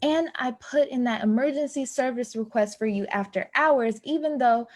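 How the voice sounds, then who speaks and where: A woman acting an anxious, hurt neighbor in a play reading, speaking earnestly and insistently with halting moments. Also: fairly high pitch, darkish tone, natural voice. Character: hurt, pleading young woman neighbor, anxious, quietly reproachful. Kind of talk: staged play reading, naturalistic dialogue.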